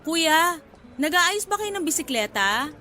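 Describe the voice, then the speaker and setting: A woman's voice: extremely high pitch, sing-song, measured pace, pushed and bright, full character voice. An actress playing a playful, forward young flower seller in a Filipino radio drama, coy and giggly as she teases. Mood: friendly, asking favor.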